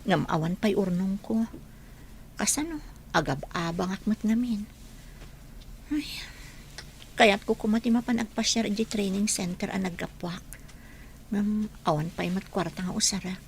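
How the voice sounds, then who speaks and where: A woman's voice: mid-range voice, a little slow, creaky, long pauses, slight background noise. A woman with an Ilocano Filipino accent playing a wistful, struggling young working woman in a radio drama. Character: wistful, struggling young masseuse named Aurelia.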